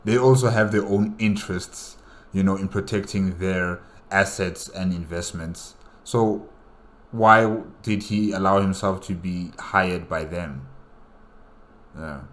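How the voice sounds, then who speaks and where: Low voiced guy doing a solo reaction, musing, repeats himself, super casual. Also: fairly even intonation, slow pace. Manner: musing, repeats phrases, often says you know. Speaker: reaction video host.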